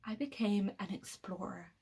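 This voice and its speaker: A woman performing a dramatic monologue as an embittered, coldly superior killer with psychic powers, pausing heavily and dropping into breathy whispers. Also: mid-range voice, a little mellow. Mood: awed, resolute. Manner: slow dramatic pauses, sinking into breathy whispers.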